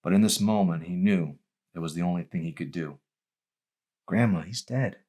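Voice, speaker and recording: Guy with a low voice reading his own novel out loud, dramatic storytelling. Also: a little fast. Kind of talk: dramatic audiobook narration.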